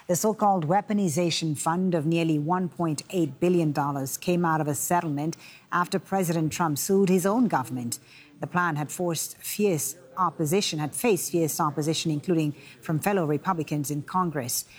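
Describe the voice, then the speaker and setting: A woman reading scripted news as an anchor, with a husky voice. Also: sits low, normal speed. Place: broadcast studio.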